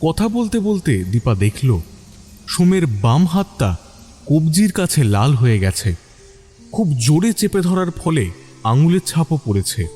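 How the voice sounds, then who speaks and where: Mellow, expressive male story narrator. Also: on the high side, unhurried, breathy edge, soft background music. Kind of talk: scripted dramatic story narration.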